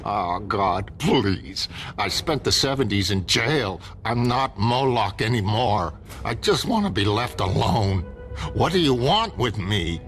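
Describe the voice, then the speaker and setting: A man's voice: on the low side, very animated, takes it slowly, a bit bright, full character voice. A man playing a frightened elderly retired villain, stammering and pleading in a motion comic. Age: older. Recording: music behind the voice.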